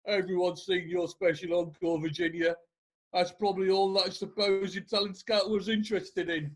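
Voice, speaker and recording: A man's voice: sits high, fairly even intonation, normal speed, rough recording. A man playing a cheeky, sardonic pantomime actor giving evidence in a comic courtroom play. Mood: mocking. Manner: mocking, cheeky, hesitant when addressing the court.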